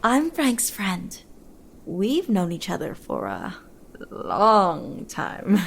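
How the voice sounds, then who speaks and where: A woman's voice: medium pitch, huge pitch swings, very slow, creaky, heavily acted. A woman acting as a coy, flirtatious friend of an ice fisherman in a comic audio drama, with suggestive delivery.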